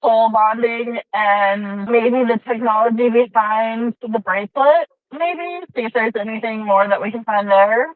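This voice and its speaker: A woman's voice: on the high side, no room sound. A woman playing a tabletop game from a car, musing aloud in casual, informal talk over a tinny connection. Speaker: player in a tabletop roleplaying game. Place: inside a car. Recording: tinny phone-like sound from a car.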